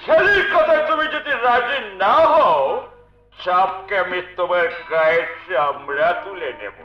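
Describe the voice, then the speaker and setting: A cruel, lustful villain of folk theatre melodrama. He drags out his arrogant boasts slowly and swings wildly across his range. The recording is echoey, with music behind him. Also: sits high, animated, highs cut off. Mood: threatening. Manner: theatrical, drawn-out boasting, throws in English phrases. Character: lecherous, arrogant rich male villain, menacing and cruel.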